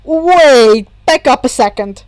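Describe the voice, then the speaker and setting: Awkward teen boy, read by a woman, high voice, polite, hesitant and flustered, dry story recording. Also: lively intonation, slow pace, a little mellow, dry recording. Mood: confused. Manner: hesitant, confused.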